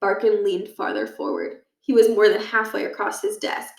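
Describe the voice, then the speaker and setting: A woman reading a children's book aloud as an expressive storyteller. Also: mid-range voice, normal speed, creaky. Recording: echoey room, distant from mic.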